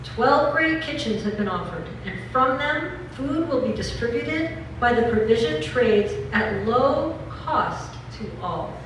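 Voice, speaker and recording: Labor orator read by a woman: solemn, deliberate, highish voice, recorded from afar with noticeable noise. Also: expressive, recorded from a distance. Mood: solemn, resolute. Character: resolute labor editorialist proclaiming a general strike. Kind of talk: dramatic reading of a historical labor editorial. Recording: echoey room from afar, clear noise.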